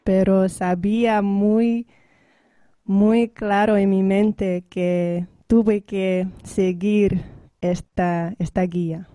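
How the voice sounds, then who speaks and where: Slow, giggly woman from the Netherlands. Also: mid-range voice, flat delivery, warm tone, no echo. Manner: giggly, laughs often between phrases. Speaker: guest singer. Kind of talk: halting learner's Spanish with translation pauses.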